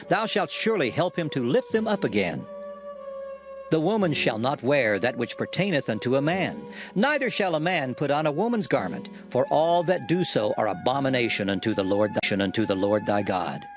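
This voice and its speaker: A man narrating a dramatized reading of archaic scripture in a solemn, declamatory manner. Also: mid-range voice. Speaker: Bible narrator. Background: music under the voice.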